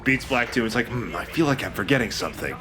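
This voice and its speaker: Lowish, animated man joking about a game. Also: quick pace. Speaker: let's play co-commentator. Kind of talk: casual joking game commentary.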